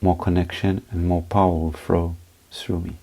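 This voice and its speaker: A man leading a guided meditation as a teacher, speaking in short, unhurried phrases with pauses between them. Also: deep voice, level tone, mellow, rough recording.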